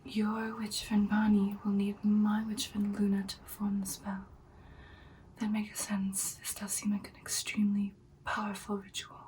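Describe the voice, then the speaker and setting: A woman's voice: mid-range voice, completely flat delivery, moderate pace, a little mellow, distant mic, a little hiss or hum, natural voice. Whispering ancient vampire played by a woman. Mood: soft, businesslike. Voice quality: whispered, breathy. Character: ancient confident female vampire girlfriend, expert sniper. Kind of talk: ASMR roleplay.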